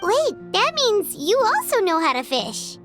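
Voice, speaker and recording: A woman's voice: extremely high pitch, wildly swooping pitch, a little slow, fairly bright tone, soft background music, heavily acted. A woman voicing a tiny, nosy fairy-like companion girl who chatters curiously and calls herself by her own name, in a game. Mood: playful. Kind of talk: game voice acting.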